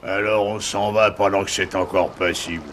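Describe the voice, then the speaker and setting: An actor voicing a grumbling, scheming ship's mate in a cartoon, with a gravelly voice. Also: male voice, low voice, steady tone, moderate pace, fairly bright tone, a little hiss or hum. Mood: accusing. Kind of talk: French cartoon dub acting.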